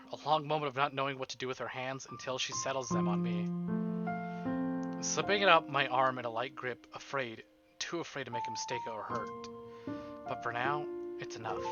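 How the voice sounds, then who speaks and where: A man reading as the earnest, tender first-person narrator of a romantic visual novel, soft and measured. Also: medium pitch, lightly acted. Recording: dull lo-fi stream audio under music.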